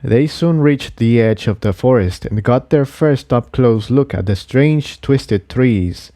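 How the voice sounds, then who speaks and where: A man's voice: medium pitch, slow, warm tone, dry recording, clean studio sound. A man reading an adventure story aloud in scripted audiobook narration. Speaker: narrator and reader of a fan fiction.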